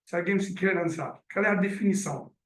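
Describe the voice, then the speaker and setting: A man's voice: high voice, brisk, fairly bright tone. A middle-aged man from Italy, with an accent mixing Italian and French, giving a hesitant business presentation with many fillers. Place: meeting room. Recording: roomy sound from a distant mic.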